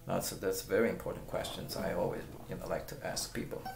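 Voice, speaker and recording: A middle-aged man with Chinese as his first language talks to camera from a small room at home, his voice very deep and gravelly. He circles back on his words and keeps pressing the listener with questions. The webcam catches an echo off the walls and faint guitar. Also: flat delivery, a little fast, noisy background. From China. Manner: rambling, repeats words, asks the viewer pointed questions. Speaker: guitar teacher. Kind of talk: casual talk to camera. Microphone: webcam at close range.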